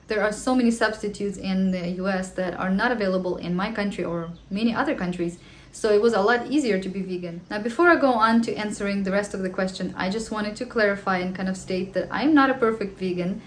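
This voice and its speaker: A woman in her thirties who grew up in Ukraine speaks English with a Ukrainian accent, Russian being her first language. She talks in a chatty, earnest way with the odd filler, answering viewer questions in a casual vlog. Her pitch sits in the middle of the range. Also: a little hiss or hum. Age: 30s. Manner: chatty and earnest, with the odd filler and slightly non-native phrasing. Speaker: vlogger. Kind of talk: casual Q&A monologue.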